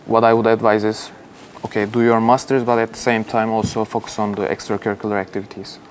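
A young man from Turkey with a Turkish accent, answering interview questions in a measured way with frequent hesitations, recorded on a lapel mic in an open-plan office. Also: medium pitch, a little hiss or hum. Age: young adult. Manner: measured, gestures while explaining, frequent "uh". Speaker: university alumnus.

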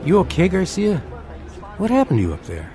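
Blunt grumbling young sailor, radio play, lively pitch, music behind. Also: male voice, fairly quick. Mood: puzzled concern. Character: grumbling, skeptical young male sailor buddy. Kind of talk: radio drama acting.

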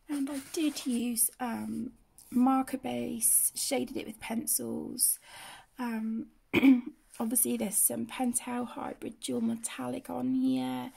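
A woman with the flu, croaky and often half-whispering, casually showing her finished colouring pages as the channel host. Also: high voice, mellow. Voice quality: hoarse, often drops to a whisper. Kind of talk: casual flip-through commentary. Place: indoors at a table.

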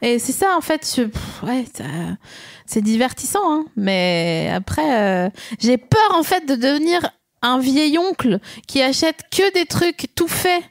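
A woman hosting a casual podcast chat, joking at her own expense and drifting between thoughts. Her voice sits a little high and sounds close and dry, with no room around it. She comes from eastern France. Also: animated, measured pace, no echo. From Mulhouse, France. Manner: playful, scattered, self-mocking. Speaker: podcast host.

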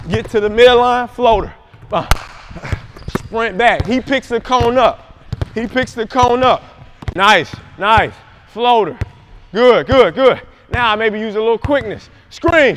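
A man's voice: sits high, slight background noise. A young man coaching basketball moves, calling out plays with energy in a large indoor gym. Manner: energetic, shouts calls on court. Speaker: basketball trainer. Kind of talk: coaching demonstration.

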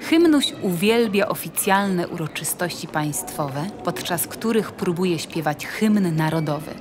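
A woman reading scripted, storybook-like narration for an audio play in a measured, deliberate way. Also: fairly low pitch, lively intonation, noisy background.

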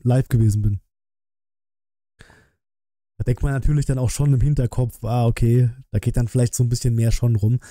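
Very dark-voiced man chatting casually. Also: mid-range voice, no echo, studio quality. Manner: colloquial, blunt, thinking aloud. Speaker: livestream host. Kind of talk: casual livestream chat and trailer reaction. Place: small decorated streaming room indoors. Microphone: close desk microphone, headset worn.

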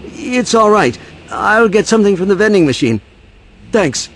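A man's voice: sits high, very animated, normal speed, a little hiss or hum. A man acting a frazzled, mild-mannered professional in an audio drama. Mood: distracted.

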